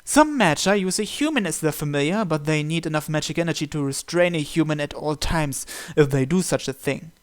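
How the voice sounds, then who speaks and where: Man reading a visual novel aloud, higher-pitched voice. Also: animated, a little fast, hardly any pauses, smooth. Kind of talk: narration read aloud from a game script.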